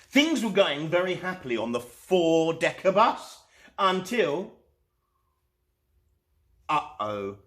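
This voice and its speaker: A man reading a children's storybook aloud as host, expressively and with dramatic pauses. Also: a little high. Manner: animated, unhurried, dramatic pauses.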